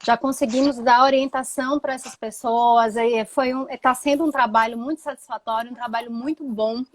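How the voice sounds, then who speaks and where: A woman's voice: fairly high pitch, steady tone, moderate pace. A woman lawyer hosting a live video conversation, introducing her guest and explaining a legal aid project, often adding tag questions.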